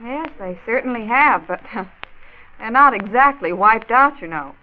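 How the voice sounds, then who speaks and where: A woman's voice: on the high side, animated, measured pace, a little hiss or hum. An American woman playing a sensible, sociable doctor's wife in 1940s radio drama style, chatty and tactful. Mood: tactful concern. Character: sensible, sociable wife of the county health commissioner. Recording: dull vintage 1940s radio transcription.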